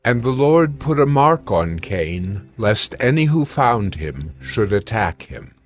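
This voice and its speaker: Slow, measured male scripture narrator. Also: on the low side, big pitch swings, faint music behind. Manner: measured, solemn.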